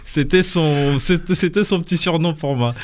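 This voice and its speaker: A man in his thirties from Congo whose first language is Lingala, a chef talking as a guest in a radio studio in a relaxed, hesitant way with many fillers. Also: on the high side, moderate pace. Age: 30s. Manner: relaxed, hesitant with frequent fillers, laughs often. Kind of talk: casual interview talk.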